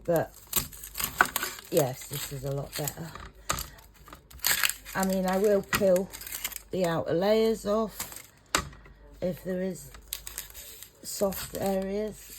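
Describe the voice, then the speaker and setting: A woman's voice: on the low side, slow, long pauses. A middle-aged English woman, a home cook, talking in a rambling, chatty, self-conscious way in her kitchen. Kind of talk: casual cooking vlog. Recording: noisy home recording.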